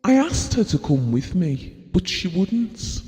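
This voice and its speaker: A man's voice: sits high, big pitch swings, slow, dark tone, heavily acted. An actor playing an earnest, devoted, guilt-prone middle-aged British husband and father, reminiscing confessionally in an audio drama. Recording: reverberant hall-like room.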